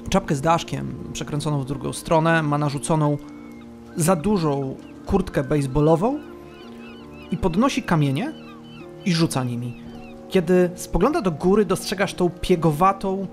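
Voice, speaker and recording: A man runs a tabletop game as its narrator. His voice sits a little high, and he tells the scene with deliberate, dramatic pauses. Light music plays underneath. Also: creaky. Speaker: game master.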